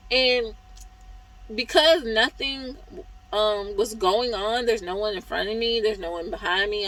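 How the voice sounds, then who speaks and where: A woman vlogging as a truck driver, hoarse from talking, venting in a chatty, exasperated way about her job. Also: fairly high pitch, a bit bright, poor audio. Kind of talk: casual vlog review.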